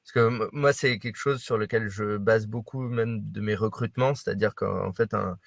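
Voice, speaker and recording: Man, low pitch, dry close sound, panel guest, hesitant, lots of fillers. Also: moderate pace, vocal fry, no echo. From France and Brazil. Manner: animated, hesitant with many fillers. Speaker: guest, sports club employee. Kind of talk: panel discussion answers.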